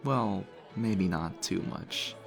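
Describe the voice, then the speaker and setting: Guy doing a charming vampire party host, lowish voice, flirty teasing asmr roleplay, soft music in back. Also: slow pace, vocal fry, full character voice. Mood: modest, courteous. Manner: flirtatious, suave, conversational. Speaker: audio roleplay voice actor. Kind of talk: intimate ASMR roleplay acting. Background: background music.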